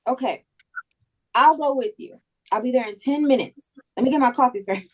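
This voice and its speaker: A woman playing an anxious but decisive friend in a dramatic table read, casual, direct and expressive. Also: high voice, moderate pace, rough recording. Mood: concerned.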